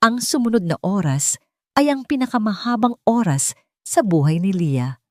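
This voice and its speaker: A woman's voice: mid-range voice, sing-song, normal speed, no echo, clean studio sound. A woman reading a scripted, dramatic romance audiobook as its narrator.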